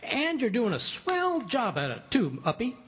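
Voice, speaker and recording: An actor playing a blustery, folksy, wisecracking husband in old-time radio comedy. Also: male voice, extremely high pitch, wildly swooping pitch, a little slow, fairly bright tone, husky, exaggerated voice.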